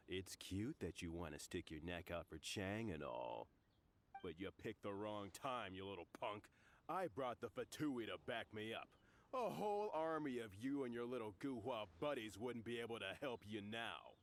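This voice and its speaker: A man's voice: fairly low pitch, lively intonation, normal speed, slight background noise. A man voicing a sneering, bullying thug in a video game, mocking and laughing at his target. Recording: game audio with faint ambience.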